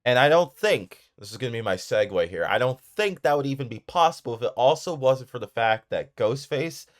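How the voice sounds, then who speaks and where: A man's voice: medium pitch, expressive, a little fast. A man giving casual, enthusiastic commentary on films in a rambling, informal way. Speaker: video creator reviewing horror films.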